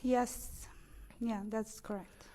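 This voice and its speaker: Higher-voiced Egyptian woman testifying haltingly. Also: steady tone, warm tone. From Cairo, Egypt. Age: middle-aged. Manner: hesitant, halting. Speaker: witness at a hearing.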